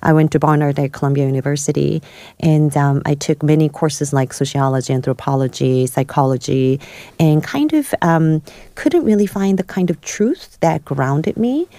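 Low, mellow-voiced Korean-born woman guest. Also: moderate pace, dry recording. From South Korea. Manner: hesitant, many fillers and restarts. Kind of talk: podcast interview, personal story. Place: studio.

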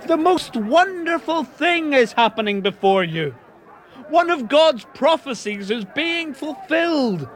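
A man's voice: very high voice, very animated, unhurried, full character voice. An actor playing the apostle Peter, a plain-spoken man preaching earnestly to a crowd in a radio drama. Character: Peter, bold fisherman apostle, middle-aged man.

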